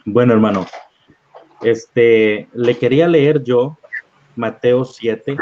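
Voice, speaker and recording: A man leading a live-streamed Bible study, reading scripture aloud at an unhurried pace and often checking in with "¿verdad?". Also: medium pitch. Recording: dull lo-fi online stream audio.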